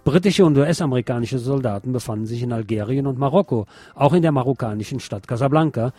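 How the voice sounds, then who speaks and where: A man's voice: medium pitch, fast talker, dark tone, dry recording. A man narrating a scripted documentary.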